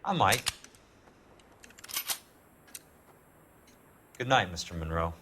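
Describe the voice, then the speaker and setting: A man's voice: low-pitched, lively intonation, brisk, sharp tone, slight background noise. A man acting a tough, sardonic ex-Marine investigator in a noir video game, blunt and dry. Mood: wry.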